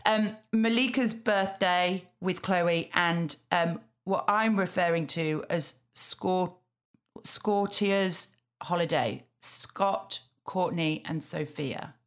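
A woman's voice: lowish voice, slow. A British woman, around her thirties or forties, chatting casually and self-deprecatingly as a podcast co-host. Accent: British English. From Yorkshire, England. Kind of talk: casual chat, TV recap.

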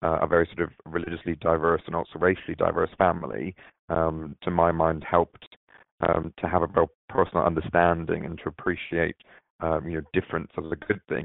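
A very deep, monotone man, thinking aloud and pausing often on "um" as he answers. His voice comes through a narrow, tinny phone line with no room sound at all. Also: gravelly, no echo. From Barbados. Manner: hesitant, many filler sounds and restarts. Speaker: diversity manager, interview guest. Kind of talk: unscripted interview answers.

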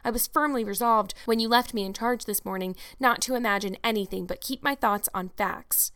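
A woman's voice: fairly high pitch, brisk, fairly bright tone, close to an everyday voice. A woman voicing an eager, imaginative young girl in a storybook, talking in an effusive, dramatic way. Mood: penitent, chatty.

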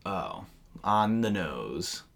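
Loud joking man on a podcast. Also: sits low, very animated, extremely slow, gravelly. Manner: loud, joking, camp banter. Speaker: podcast co-host. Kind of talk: casual chat.

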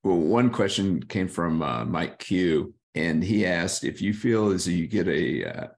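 Man, very low voice, gravelly, friendly, hesitant restarts. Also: lively intonation, measured pace. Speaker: exercise coach asking community questions. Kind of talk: interview questions.

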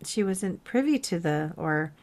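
Lower-voiced woman chatting reflectively. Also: animated, unhurried, a little mellow. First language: Vietnamese. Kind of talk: casual reflective conversation.

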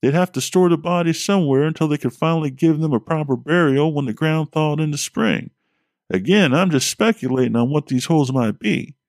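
Male narrator voicing a high, folksy Vermont plumber, blunt and joking. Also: fairly quick, a little mellow, dry recording, clean studio sound, natural voice. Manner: folksy, drawling, a bit crude.

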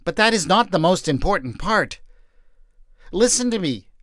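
A man voicing an earnest, excited wife telling her husband news. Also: high voice, moderate pace, no echo, clean studio sound, pitched above the performer's own voice.

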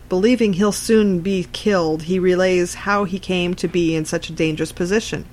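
A woman narrating a written article in a scripted reading style. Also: fairly low pitch.

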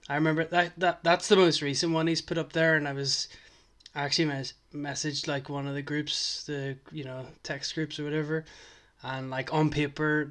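A man chats casually as a podcast host, rambling through his thoughts with plenty of "like" and "uh". His voice sits a touch high but stays flat in melody. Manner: rambling, filler-heavy, enthusiastic. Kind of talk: casual chat.

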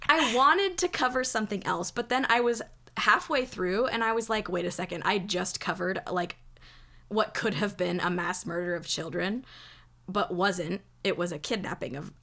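A young woman, hoarse from losing her voice, hosting a podcast in casual chat, emphatic and swearing freely. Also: medium pitch, moderate pace, sharp tone. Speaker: podcast host telling the story.

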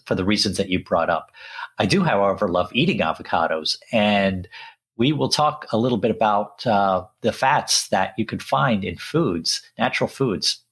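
A man born in Sri Lanka and raised in England, co-hosting a casual talk show and speaking in a halting way with many restarts. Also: lowish voice, fairly bright tone, breathy edge. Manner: halting, with frequent restarts and repeated words. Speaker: podcast co-host. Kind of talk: casual conversational chat.

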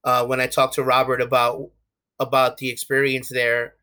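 A man's voice: medium pitch, completely flat delivery, moderate pace, pushed and bright. A young man with a New York accent, talking candidly as an interview guest, with many restarts and fillers. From USA. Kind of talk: casual interview talk.

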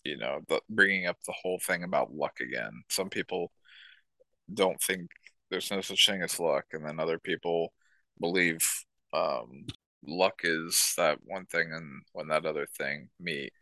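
A young man chatting casually as a podcast co-host, with crude, deadpan jokes. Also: sits low, measured pace, no echo. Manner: crude, joking, deadpan asides. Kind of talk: casual chat.

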